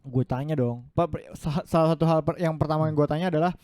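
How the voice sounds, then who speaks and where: A man's voice: a little high, quick pace, darkish tone, dry recording, studio quality. A man co-hosting a casual podcast chat, telling a story at length and acting out the lines of the people in it. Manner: storytelling, acts out quoted dialogue. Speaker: podcast co-host.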